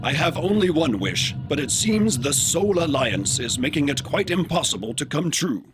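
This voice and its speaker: A man playing a deadpan, sardonic robot janitor who resents humans in a sci-fi audio drama. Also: fairly high pitch, moderate pace. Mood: deadpan sarcasm. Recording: music behind the voice.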